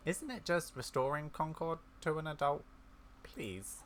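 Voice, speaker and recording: Man acting young woman, video game heroine, raised pitch, pleading, earnest, faint background noise. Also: expressive, measured pace, a bit bright. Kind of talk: video game quest dialogue read aloud.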